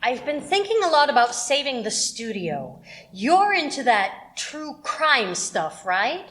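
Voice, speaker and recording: A woman playing a brisk, persuasive radio station manager in an audio drama, casual and confident. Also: sits high, sing-song, a bit bright, exaggerated voice.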